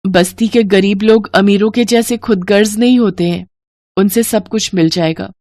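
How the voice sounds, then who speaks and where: A woman voicing a gentle young village wife in a storybook tale, soft, respectful and reassuring. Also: medium pitch, moderate pace, dry recording, studio quality, lightly acted. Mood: soft reassurance.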